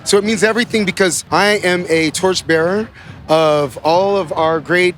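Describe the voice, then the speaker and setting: A man's voice: sits high, normal speed, fairly bright tone, a little hiss or hum. A man from Los Angeles, earnest and sincere, interviewed outdoors. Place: outdoors at a Pride event.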